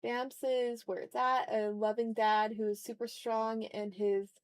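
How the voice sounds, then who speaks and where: A woman's voice: on the high side, level tone, a little slow, clear, resonant tone, no room sound. A woman chatting informally on a livestream while she draws, muttering asides to herself as she works. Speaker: art streamer. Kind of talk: casual livestream chat while drawing.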